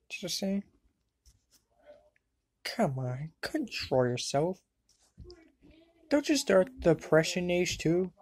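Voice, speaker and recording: A woman plays a chatty young student wizard from an anime fandub. The voice is mellow and unhurried, in a dry, close recording. The delivery is wry and exclamatory. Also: sits low, dry recording, exaggerated voice. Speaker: amateur fandub voice actor. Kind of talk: anime fandub character acting.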